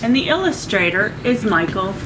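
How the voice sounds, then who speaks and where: A woman's voice: medium pitch, sing-song, moderate pace, noisy background. A woman reading a children's picture book aloud as a story-time reader, playful and often chuckling.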